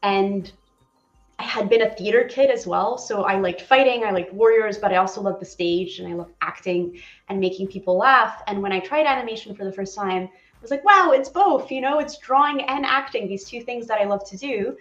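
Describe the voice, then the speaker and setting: A woman in her forties from Poland talks in a lively, chatty way as an interview guest. Her voice sits at an ordinary pitch, and the sound is roomy and a little muffled. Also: moderate pace. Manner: chatty and animated, with laughs and many fillers. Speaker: animator guest. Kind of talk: casual interview chat.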